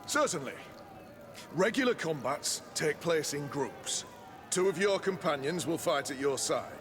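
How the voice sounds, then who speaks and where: An actor playing a showy, formal arena master in a theatrical fantasy game style. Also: male voice, on the high side, lively intonation, a little slow, fairly bright tone, faint music behind. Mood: smooth salesmanship. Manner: flamboyant, formal, showman-like. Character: showy male arena master announcing fights to the crowd. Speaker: arena announcer. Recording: long echoing reverb.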